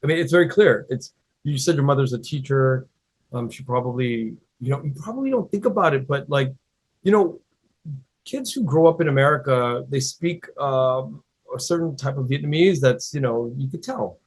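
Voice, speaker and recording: Husky guy interviewing, rambles, lots of um and you know. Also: mid-range voice, normal speed, rough recording. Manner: rambling, hesitant, many fillers. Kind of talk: casual interview chat.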